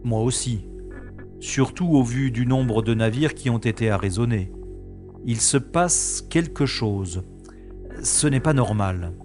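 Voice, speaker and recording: A man playing a brisk, dryly commanding spaceship captain and special agent in a French sci-fi audio drama. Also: mid-range voice, a little mellow, faint music behind, lightly acted. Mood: watchful.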